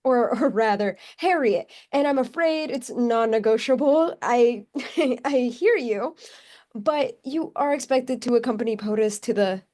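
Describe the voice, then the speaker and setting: An anxious, officious female aide, played by an actress, barely holding herself together. Her voice is high and she speaks slowly, insistent even as she stammers, as in a play reading. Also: lightly acted. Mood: nervous. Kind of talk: script table read.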